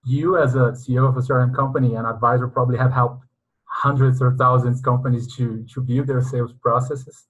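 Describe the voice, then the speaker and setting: A husky-voiced man hosts an interview, reading out long introductions and questions in a formal way. The recording sounds dull and slightly muffled. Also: medium pitch, little pitch movement, fairly quick. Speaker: interviewer, sales consultant. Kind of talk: interview hosting.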